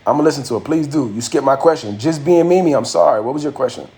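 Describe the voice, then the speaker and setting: A man's voice: medium pitch, fast talker, mellow. A young man hosting a casual live stream, chatting loosely with viewers in slangy speech and answering their comments. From Haitian family. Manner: raps his verse with a raised, rhythmic delivery. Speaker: livestream host and rapper.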